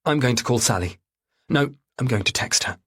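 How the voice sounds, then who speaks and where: A man's voice: medium pitch, animated, fairly quick, fairly bright tone, breathy edge. A man voicing a tense, determined mother who speaks urgently and insistently in an audiobook thriller. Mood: insistent, desperate.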